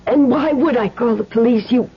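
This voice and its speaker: A cornered wife and mother in a vintage radio thriller. Her voice is very high and breathy, nervous and hesitant, with the dulled sound of an old broadcast. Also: normal speed. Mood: frightened, hesitant. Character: frightened, cornered wife and mother, anxious to placate. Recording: dull vintage radio recording.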